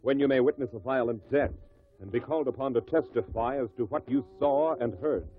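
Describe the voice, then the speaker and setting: A man's voice: medium pitch, a little fast. Portentous old-time radio announcer man, tinny sound. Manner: solemn, portentous. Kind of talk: dramatic 1950s radio announcing.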